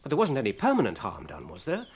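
A British actor playing an earnest, polite hobbit with a gentle, questioning manner in a radio drama. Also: male voice, mid-range voice, wildly swooping pitch, quick pace, vocal fry, husky, full character voice. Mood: anxious, questioning.

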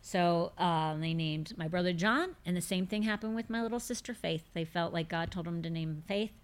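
Low-voiced woman in her fifties. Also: moderate pace. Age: 50s. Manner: conversational, emphatic, repeats words when stressing a point. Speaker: podcast host. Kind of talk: casual faith talk answering listener questions.

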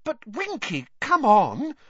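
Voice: medium pitch, wildly swooping pitch, slow, sharp tone, slightly rough, no room sound, lo-fi sound, voice pushed up, heavily acted. A woman voicing an earnest, indignant but kindly girl in a storybook, with a firm, insistent, well-spoken manner. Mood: indignant, consoling.

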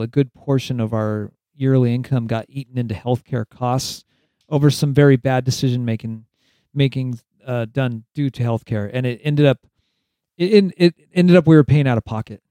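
A man's voice: medium pitch, a little fast, mellow, smooth, no echo. A man hosting a podcast in a casual interview, rambling along with frequent restarts and chuckles. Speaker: podcast host.

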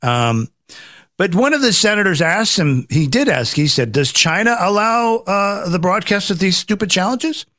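A man hosts a loose political chat in a fairly high, very animated voice. He rambles, circling back and repeating phrases as he makes his point. The sound is dry and close. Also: normal speed, no echo. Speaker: talk show host. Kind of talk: casual political commentary chat.

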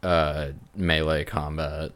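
A man speaking as a podcast co-host in casual, unscripted talk about games, feeling his way through sentences with halting phrasing. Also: very deep voice, little pitch movement, a bit bright, clear, resonant tone. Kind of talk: casual unscripted video game discussion.

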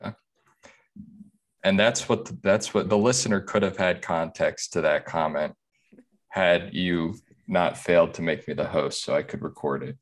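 A man's voice: low voice, normal speed. A man co-hosting a casual podcast chat with dry, sarcastic jokes. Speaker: podcast co-host.

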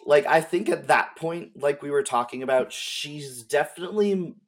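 Halting, informal man chatting as a guest. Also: fairly high pitch. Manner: halting, informal, peppered with slang and swearing. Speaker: podcast guest. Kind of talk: casual chat about video game lore.

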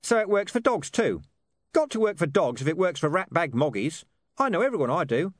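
A talking stray dog for a storybook, high-pitched and very animated. The mutt is cheeky, sardonic and out for money, talking in dismissive street slang. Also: male voice, fairly quick, no room sound, studio quality. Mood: mercenary, insistent.

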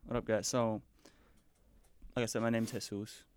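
A man's voice: fairly low pitch, flat delivery, moderate pace, smooth, no room sound. A young man from the US Midwest who grew up speaking Spanish at home, a guest chatting in a laid-back, rambling way. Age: young adult. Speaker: podcast guest. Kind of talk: casual conversation.

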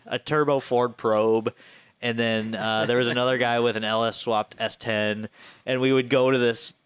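An American man of thirty with an average-pitched voice, recorded close and dry. He talks informally, as a podcast co-host swapping stories with friends, and swears casually. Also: fairly even intonation, a little slow, clear, resonant tone, no echo. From Flint area, Michigan, US. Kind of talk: casual chat, personal storytelling.

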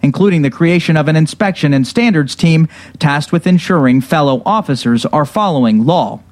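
A man's voice: fairly high pitch, animated, normal speed, a little mellow, slight background noise. A man reading scripted news narration as a television reporter.

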